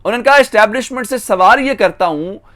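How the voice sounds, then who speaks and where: A man's voice: sits high, fast, fairly bright tone. A man with a Pakistani accent delivering a political news monologue as an online channel host, stressing and drawing out key phrases.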